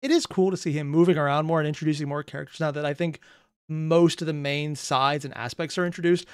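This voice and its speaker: A reaction video host talking casually, animated and exasperated, with profane asides. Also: medium pitch, fast talker, clear, resonant tone, dry recording, studio quality.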